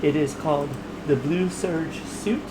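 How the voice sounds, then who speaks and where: A man's voice: on the high side, unhurried. A man hosting a livestream, casually introducing an old radio episode in a friendly, conversational way. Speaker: livestream host. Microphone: streaming mic. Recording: noisy home stream.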